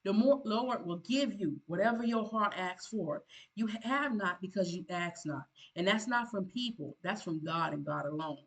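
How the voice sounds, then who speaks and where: A woman's voice: medium pitch, animated, moderate pace, a bit bright, rough recording. A woman, a content creator giving earnest motivational advice in a preachy, emphatic way, often repeating herself and restarting. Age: 50s.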